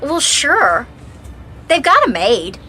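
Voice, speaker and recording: A naive young college student in a radio mystery, indignant and breezy. Her high, bright voice leaps around defensively, over faint background noise. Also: wildly swooping pitch, takes it slowly, faint music behind, heavily acted. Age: young adult. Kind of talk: radio mystery drama acting.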